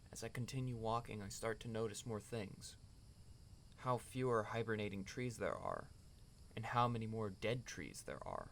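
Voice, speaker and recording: A man playing a young podcaster narrating a dreamy, brooding story reflectively, with deliberate dramatic pauses. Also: medium pitch, creaky, a little hiss or hum. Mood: eerie, hushed. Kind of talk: scripted first-person narration.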